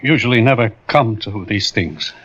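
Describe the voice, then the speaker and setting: A man's voice: lowish voice, lively intonation, moderate pace, a bit bright. An actor playing a grieving middle-aged businessman father, hesitant and subdued, in 1940s radio acting. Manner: hesitant, subdued, then pleading. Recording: hissy lo-fi old radio broadcast.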